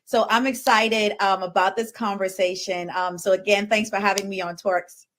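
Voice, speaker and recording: A woman's voice: medium pitch, steady tone, normal speed, fairly bright tone, slight background noise. A woman from the Bahamas giving conversational answers as a podcast guest.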